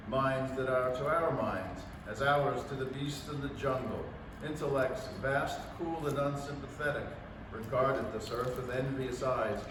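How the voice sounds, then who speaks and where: A man playing a solemn, eloquent narrator in a staged 1930s radio drama reading, speaking in a measured, formal way. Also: mid-range voice, natural voice. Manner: measured, formal, literary delivery. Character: solemn, eloquent male narrator of an alien invasion. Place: public library room, staged reading. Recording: distant, very echoey room with background noise.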